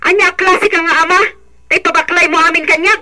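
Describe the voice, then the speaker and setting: A woman's voice: extremely high pitch, steady tone, a little fast, pushed and bright, exaggerated voice. An actress playing an exasperated young mother who complains sharply and blames her husband in a radio drama. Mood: angry, blaming. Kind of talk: Ilocano radio drama acting.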